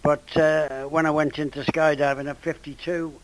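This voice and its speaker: A man's voice: fairly high pitch, level tone, moderate pace. An older British man, in his seventies or beyond, chatting casually as an amateur radio operator. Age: 70s or older. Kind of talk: casual ham radio conversation.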